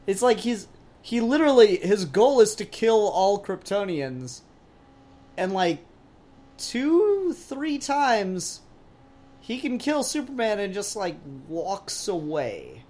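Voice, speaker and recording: A man's voice: high-pitched, sing-song, slow pace, a little mellow. A man co-hosting a podcast, talking casually about comic books in an opinionated, blunt way. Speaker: podcast co-host. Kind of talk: casual comic book discussion.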